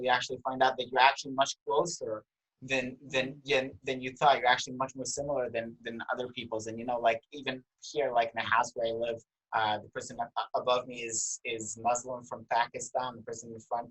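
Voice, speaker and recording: A man's voice: medium pitch, a bit bright, poor audio. A man from Israel, hosting an interview in a chatty, affirming way and sharing anecdotes. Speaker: interview host. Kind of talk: conversational interview.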